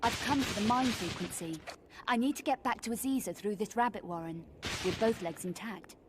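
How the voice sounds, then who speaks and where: A woman playing a determined young female adventurer in a video game, brisk and unflustered. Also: mid-range voice, noisy background, lightly acted. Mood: brisk, insistent. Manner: brisk, matter-of-fact, unflustered. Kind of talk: video game cutscene acting. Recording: music behind the voice.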